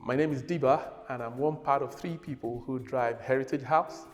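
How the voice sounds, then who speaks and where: Middle-aged man, native yoruba speaker, casual relaxed explanation, lapel mic, reverberant studio, soft music. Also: medium pitch, moderate pace. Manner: relaxed, gesturing, uses fillers. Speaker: organiser of a children's heritage group.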